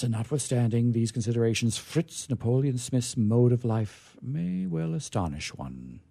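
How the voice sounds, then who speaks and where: A man giving a dramatic reading of vintage science fiction, theatrical and emphatic, with drawn-out phrases and pauses. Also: mid-range voice, warm tone. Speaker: reader performing a literary reading.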